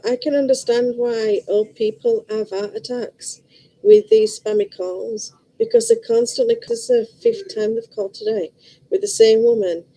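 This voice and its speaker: A woman's voice: on the high side, mellow, rough recording. A middle-aged woman with a Liverpool accent, chatting casually and bluntly to her viewers in a rambling way. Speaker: vlogger. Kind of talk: casual chat to camera.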